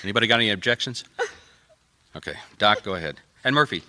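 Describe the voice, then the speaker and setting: A man's voice: lowish voice, lively intonation, quick pace, bright, forceful tone. An actor playing a blunt, commanding private detective and ex-policeman in a radio mystery. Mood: businesslike, probing. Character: no-nonsense male private detective, former city policeman.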